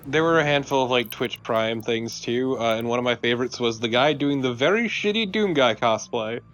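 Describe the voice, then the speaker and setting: A man's voice: medium pitch, lively intonation, moderate pace, fairly bright tone. A man chatting casually as a let's play co-host, informal and dryly sarcastic. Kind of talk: casual gameplay commentary. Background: game music.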